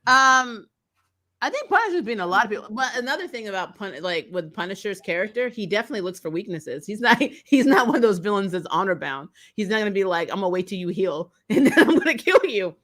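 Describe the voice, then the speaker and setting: A woman co-hosting a casual comic book chat, telling things in an animated, sarcastic way with mild swearing. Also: mid-range voice, fast talker. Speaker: co-host of a comic book discussion stream. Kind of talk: casual fan chat, reading and answering viewer comments.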